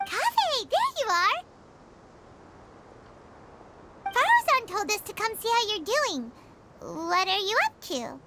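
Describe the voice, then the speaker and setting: A woman voicing a tiny, childlike fairy companion in a video game, squeaky and bubbly, curious and blunt. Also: big pitch swings, a little slow, heavy background noise, exaggerated voice. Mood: curious, cheerful. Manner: bubbly, speaks of self in third person. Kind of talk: animated video game character acting.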